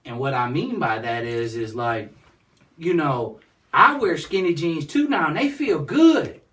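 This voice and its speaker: High-voiced man, rambling. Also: very animated, unhurried, fairly bright tone. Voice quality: high-pitched voice. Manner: rambling, repeats "you know what I'm saying". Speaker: guest, nonprofit founder. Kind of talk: casual interview conversation. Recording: distant mic.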